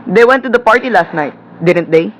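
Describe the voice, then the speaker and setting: A woman's voice: mid-range voice, animated, fairly quick, natural voice. A woman playing a stern, defensive parent in an amateur radio play, with a blunt, challenging delivery. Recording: dull lo-fi audio with clear noise.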